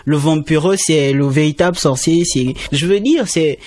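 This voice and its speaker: A man's voice: normal speed, a little mellow, dry recording. A man, a student trainee explaining conservation as a radio interview guest, speaking emphatically. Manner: explanatory, emphatic with rising pitch.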